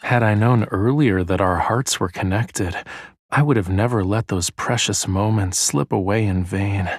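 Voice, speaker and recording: A man playing a gentle, romantic young suitor with a poetic, courtly manner in a scripted audio drama. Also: fairly low pitch, a little slow, no room sound, clean studio sound. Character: tender, playful, romantic young man wooing his beloved. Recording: music behind the voice.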